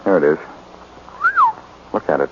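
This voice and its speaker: An actor playing a breezy, wisecracking amateur sleuth with an American accent in a 1940s radio detective drama, with a flippant, teasing manner. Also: male voice, very deep voice, measured pace. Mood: playful. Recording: dull, noisy 1940s radio recording.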